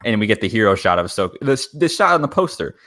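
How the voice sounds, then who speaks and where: A man with a fairly low voice chats as a podcast co-host. The sound is close and dry, and the talk is casual and conversational. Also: very animated, fast talker, fairly bright tone, no room sound. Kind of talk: casual fan podcast chat.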